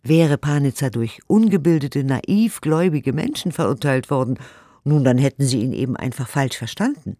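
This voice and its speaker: A woman's voice: very deep voice, lively intonation, moderate pace, mellow, dry recording, studio quality, heavily acted. An actor in a radio play voicing an earnest, indignant woman who reads 1890s letters and commentary emphatically and articulately. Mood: indignant conviction. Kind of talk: dramatic radio play recitation of historical documents.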